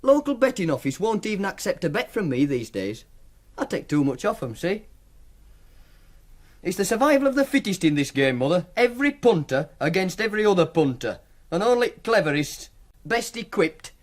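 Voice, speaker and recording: An actor playing a defiant, self-assured young student gambler with a Northern English accent in a naturalistic radio drama. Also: male voice, a little high. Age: young adult.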